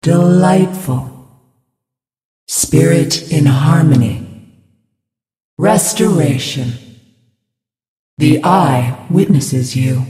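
A serene pious spirit priestess for a video game, super slow n ceremonial, big echoey hall sound. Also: female voice, deep voice, mellow, distant mic. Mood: serene, devout. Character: serene, devout female floating spirit healer, solemn and judging. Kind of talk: video game character voice lines. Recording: reverberant hall effect, music behind.

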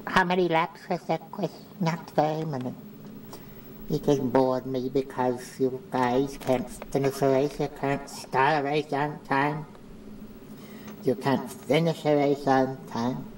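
A man's voice: medium pitch, fairly even intonation, slight background noise, natural voice, poor audio. A man voicing a small, pompous old uncle who calls himself a mastermind, grandiose and condescending. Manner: grandiose, condescending, slow and deliberate.

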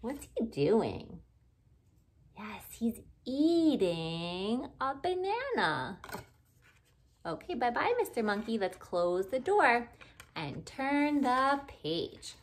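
A woman reads a picture book to young children in a high, very animated voice. She takes it extremely slowly, using simple, exaggerated phrases. She keeps asking questions as a teacher would, inviting the listener to join in. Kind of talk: interactive read-aloud for young children. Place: living room at home, indoors.

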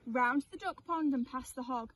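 A woman's voice: high-pitched, moderate pace, breathy edge, distant mic. A woman voicing a tiny, clever female ladybird in a storybook, earnest and warning.

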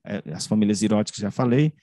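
A man's voice: fairly low pitch, fast talker, dark tone, no room sound. Middle-aged man presenting, dry close sound. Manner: conversational, often tags phrases with "né". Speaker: researcher and author giving a presentation. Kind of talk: academic slide presentation, explanatory talk. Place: indoor room, on a video call. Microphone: computer mic on a video call.

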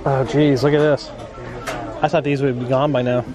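A man vlogging a shopping trip, chatting casually and reading out prices as he films in a quiet clothing store. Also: mid-range voice, level tone, normal speed, music in the background, crowd noise, low-quality recording. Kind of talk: casual chat.

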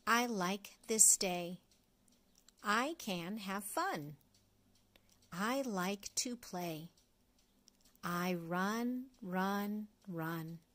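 A middle-aged woman reading an early reader picture book aloud to young children, phrase by phrase in a deliberate way. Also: medium pitch, fairly bright tone. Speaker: storyteller, children's read-along host.

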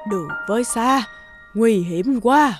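A woman voicing a kindly, worrying old village grandmother who gently chides. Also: on the high side, lively intonation, slow pace, over music. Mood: concerned. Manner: fretful, gently chiding. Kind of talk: animated folk tale dubbing.

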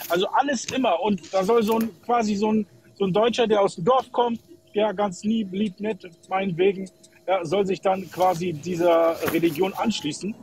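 A man's voice: high-pitched, noisy background. A man from Kosovo calling into a livestream, chatting in an opinionated, colloquial way and repeating himself for emphasis. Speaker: live call-in guest. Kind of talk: casual opinionated chat.

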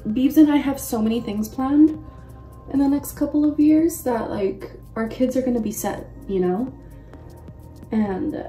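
High-voiced young woman, roomy echo. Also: warm tone. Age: mid twenties. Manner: chatty, slangy, with frequent exclamations. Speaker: makeup vlogger. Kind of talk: casual chat while doing a makeup tutorial. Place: bedroom-like room at home. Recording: roomy echo, distant mic, faint music.

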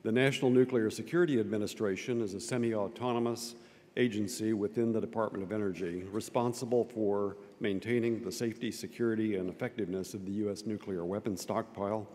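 An older man giving a formal, measured introduction at a lectern, reading from notes and explaining things simply for young listeners. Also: lowish voice, fairly even intonation, a little mellow. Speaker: event speaker introducing a guest. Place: large auditorium stage at a lectern. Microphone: lectern microphone. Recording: long auditorium reverb.

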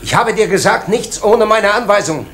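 A man's voice: high-pitched, expressive, normal speed, fairly bright tone, a little hiss or hum. An actor playing a brusque, domineering father giving orders in a radio crime drama. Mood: stern. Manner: brusque, commanding.

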